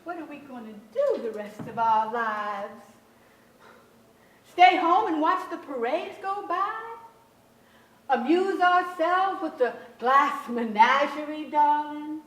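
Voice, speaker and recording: A woman's voice: high voice, expressive, takes it slowly, a bit bright, roomy sound, recorded from a distance, exaggerated voice, poor audio. An actress playing an anxious, overbearing middle-aged mother in a staged drama, theatrical, fretful and emphatic. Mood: fretful, pleading. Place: large theatre stage with audience, indoors.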